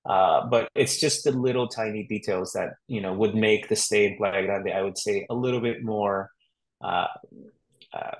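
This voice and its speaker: A middle-aged man from Honduras, a real estate agent chatting as an interview guest in a relaxed, rambling way with fillers and restarts. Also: on the low side, moderate pace, rough recording. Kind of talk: casual interview chat.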